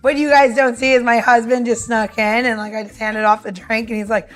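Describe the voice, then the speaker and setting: A woman's voice: a little high, little pitch movement, brisk, soft background music. Breezy woman chatting casually about drinks. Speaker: cocktail maker on a podcast. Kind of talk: casual chat, explaining drinks.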